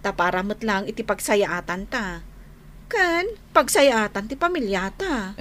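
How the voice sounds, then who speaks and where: An actress playing a caring, admonishing wife in a radio drama. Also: female voice, medium pitch, animated, unhurried, fairly bright tone, a little hiss or hum. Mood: thankful.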